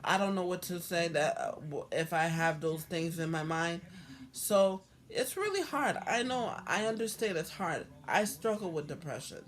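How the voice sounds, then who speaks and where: A hoarse woman vlogging about her own life in a halting, earnest way, repeating herself and restarting often. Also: fairly low pitch, lively intonation, slight background noise. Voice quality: halting, repetitive, with many restarts. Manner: earnest, heartfelt. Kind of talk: personal talk to camera.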